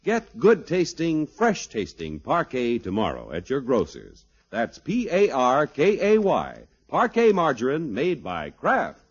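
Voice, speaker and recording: A man's voice: medium pitch, wildly swooping pitch, unhurried, hardly any pauses. Old-time radio announcer guy, super swoopy polished delivery, music behind, muffled recording. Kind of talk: 1950s radio announcing, scripted.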